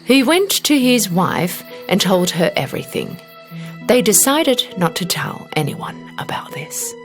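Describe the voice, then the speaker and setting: A woman reading a scripted children's fairy tale as an expressive storybook narrator. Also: on the low side, measured pace, faint music behind.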